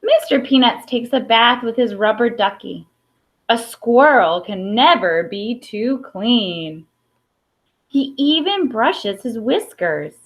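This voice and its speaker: Lively high-voiced woman reading a storybook. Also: takes it slowly. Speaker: storybook reader. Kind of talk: picture-book read-aloud with brief asides. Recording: dull, lo-fi sound.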